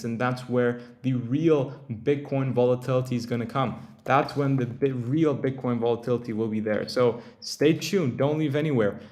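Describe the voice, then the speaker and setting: Young male stream host, tired, chatty, breaks off mid-sentence, average pitch. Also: darkish tone. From Turkey. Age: young adult. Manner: rambling, self-interrupting, chatty with viewers. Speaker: crypto livestream host. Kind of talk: casual livestream chat.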